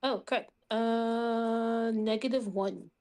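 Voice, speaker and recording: Bright-spirited casual woman, slightly high voice. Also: steady tone, slow. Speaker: player in a tabletop game. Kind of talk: casual tabletop game chat.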